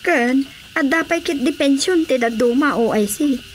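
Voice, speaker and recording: A concerned, respectful village woman played by an actress with an Ilocano accent. Her voice is high, in radio drama style, with faint noise. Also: moderate pace, lightly acted.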